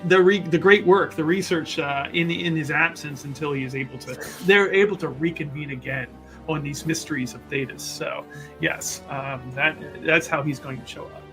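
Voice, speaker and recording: A man with a slightly high voice casually describes his character in a tabletop game. He rambles and hesitates as he makes it up, with faint music behind him. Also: normal speed, rough recording. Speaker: player in a tabletop roleplaying game.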